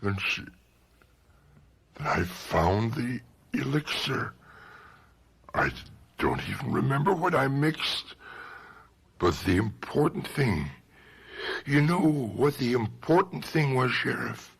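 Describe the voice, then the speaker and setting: Radio mystery role: dazed, obsessed husband, gravelly and very raspy, slow halting swings of delivery. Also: male voice, mid-range voice, very animated, a bit bright, full character voice. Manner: halting, dramatic, repetitive. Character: bewildered, unhinged male widower confessing to his poison elixir. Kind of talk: radio mystery drama acting.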